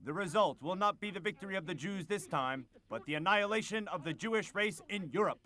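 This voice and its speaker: A man's voice: high-pitched, expressive, fairly quick, pushed and bright. A man voicing a menacing, fanatical political orator in a translated speech, declamatory and emphatic. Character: menacing, fanatical male fascist orator addressing comrades.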